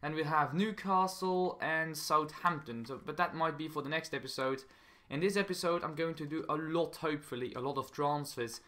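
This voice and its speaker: A young man from Belgium with a Belgian accent, hosting a gaming channel with casual commentary in halting, non-native English that often repeats phrases. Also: on the high side, a bit bright.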